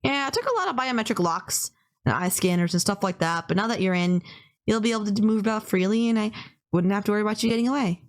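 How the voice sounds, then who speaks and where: A woman's voice: medium pitch, lively intonation, fast talker, natural voice. Woman acting a polite, obsessively lovestruck young kidnapper. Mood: earnest, fussing. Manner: apologetic, earnest, rambling, fussing over politeness. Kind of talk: intimate anime-style roleplay audio acting.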